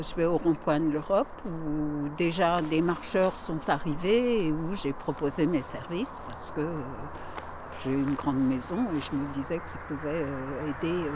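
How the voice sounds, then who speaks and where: A local supporter speaking plainly and a little hesitantly about offering help. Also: medium pitch. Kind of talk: interview answers. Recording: outdoor noise in background.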